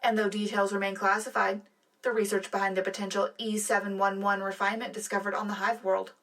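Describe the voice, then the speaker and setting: A woman's voice: mid-range voice, flat delivery, brisk, fairly bright tone, natural voice, low-quality recording. A woman playing a composed, loyal propaganda radio anchor reading a scripted, wryly upbeat sci-fi war broadcast. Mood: proud, official. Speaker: radio co-host. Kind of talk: scripted in-world news broadcast.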